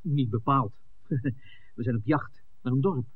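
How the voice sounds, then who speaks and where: An actor playing an eager, easygoing television director, speaking in a breezy, exclamatory 1960s Dutch radio drama style. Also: male voice, mid-range voice, takes it slowly, husky, phone-quality sound. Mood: sociable. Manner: exclamatory, breezy, chuckling between phrases. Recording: thin, noisy 1960s radio recording.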